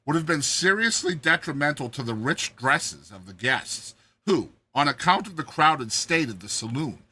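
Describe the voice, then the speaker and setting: Forceful, husky male story narrator. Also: mid-range voice, poor audio. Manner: deliberate, theatrical phrasing. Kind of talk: dramatized audiobook narration of a classic story.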